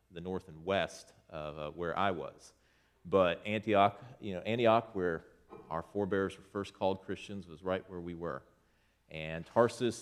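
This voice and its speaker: Deep-voiced man giving a church trip report. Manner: conversational, with many restarts, pointing at photos. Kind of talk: informal presentation to a congregation.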